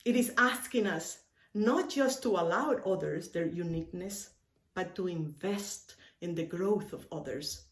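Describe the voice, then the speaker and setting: A woman from Cuba giving a sermon as a minister, reflective and earnest, stressing phrases with deliberate pauses and repetition. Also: on the low side. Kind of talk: sermon, reflective with personal stories and quotations. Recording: echoey, roomy sound.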